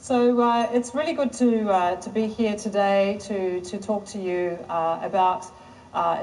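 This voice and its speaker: Woman from the UK, keynote talk, mellow tone, heard from a distance, faint noise. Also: mid-range voice. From United Kingdom. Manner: measured, with frequent ums and uhs. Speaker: conference speaker.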